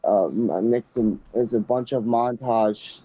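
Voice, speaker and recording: A man's voice: mid-range voice, slow pace, warm tone, husky, no room sound, lo-fi sound. A young man hosting, reading a story aloud casually, often stumbling and restarting.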